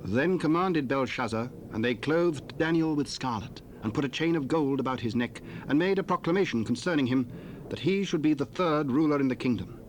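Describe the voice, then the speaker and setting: Formal male narrator, mid-pitched, hissy cassette sound. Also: lively intonation, brisk, low-quality recording. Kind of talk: scripted scripture narration.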